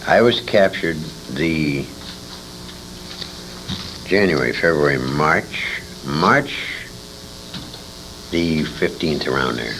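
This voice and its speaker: Deep-voiced older American man, animated storyteller. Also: takes it slowly, pauses often. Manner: salty, emphatic, repeats phrases for effect. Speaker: interviewee, war veteran and old-time radio performer. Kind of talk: animated anecdotal storytelling in casual conversation. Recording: noisy informal interview recording.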